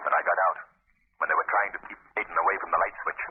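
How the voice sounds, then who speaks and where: Man acting deep, commanding mystery crimefighter, old radio. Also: fairly even intonation, rapid-fire, lightly acted. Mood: calm, solemn. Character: mysterious invisible male crimefighter, calm, taunting, commanding. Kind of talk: 1930s radio drama acting. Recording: scratchy, tinny 1930s radio recording.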